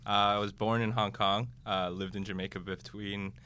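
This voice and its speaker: A man's voice: on the low side, little pitch movement, moderate pace, pushed and bright, a little hiss or hum. A man raised in Florida, an MBA student guest, talking easily with frequent fillers and light chuckles. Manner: easygoing, chuckling, lots of "uh". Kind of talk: casual interview chat.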